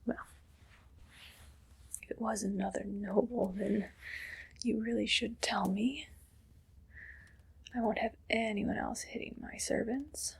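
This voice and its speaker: A breathy evil queen, acted by a woman, whispers in an ASMR roleplay with slow, deliberate pacing. She is condescending and possessive, a cruel ruler speaking softly to her servant in a fairly high voice. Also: fairly even intonation, full character voice. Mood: possessive, stern.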